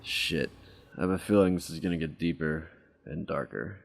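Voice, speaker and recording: A man's voice: a bass voice, normal speed, vocal fry, slight background noise. An actor playing a cynical, sarcastic male detective in an audio drama. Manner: sarcastic, crude remarks.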